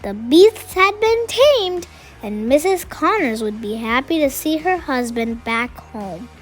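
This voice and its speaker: Female children's storybook reader, expressive and high-pitched, unhurried delivery, faint music underneath. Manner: expressive, addresses young listeners with questions. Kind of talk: read-aloud storytelling with chatty asides to children. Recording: music behind the voice.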